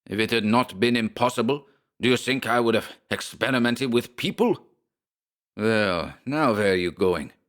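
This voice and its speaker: A man's voice: fairly high pitch, wildly swooping pitch, normal speed, pushed and bright, pitched above the performer's own voice, full character voice. A man voicing a furious little German scientist with a German accent and a shrieking, explosive delivery.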